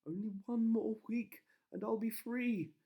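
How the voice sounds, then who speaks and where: A man voicing a feisty, sharp-tongued young princess in a bedtime story, girlish and indignant. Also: steady tone, measured pace, gravelly, heavily acted. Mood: eager. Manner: indignant, exaggerated high girlish delivery.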